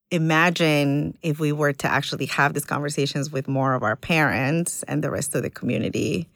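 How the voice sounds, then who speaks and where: Low-voiced earnest woman organizer. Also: fairly bright tone, dry recording, studio quality. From Ecuador. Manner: earnest, thoughtful, halting with fillers. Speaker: immigrant community organizer. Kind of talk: reflective interview conversation.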